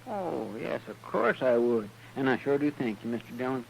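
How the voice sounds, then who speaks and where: A loyal, simple frontier deputy with a gravelly rural American drawl. He is eager to please and rambles in a folksy, halting way. It has the faint noise of a 1950s radio western. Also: medium pitch, expressive. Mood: eager, earnest. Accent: American rural Southern or Western drawl. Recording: noisy old radio recording.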